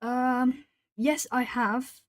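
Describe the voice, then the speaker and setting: A woman's voice: sits high, fairly even intonation, no room sound, studio quality. Brazilian woman chatting on an art stream. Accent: southern Brazilian Portuguese. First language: Portuguese. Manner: chatty, reads chat comments aloud, pauses to think. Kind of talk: casual chat while colouring.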